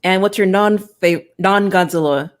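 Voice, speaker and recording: A woman's voice: mid-range voice, unhurried. A woman hosting a podcast, chatting casually as she interviews a guest. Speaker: podcast host.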